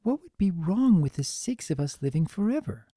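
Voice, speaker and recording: A reader voicing a gentle, anxious young female pony with a soft, hesitant, earnest manner in a story reading. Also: mid-range voice, very animated, moderate pace, no room sound, clean studio sound, voice pushed up.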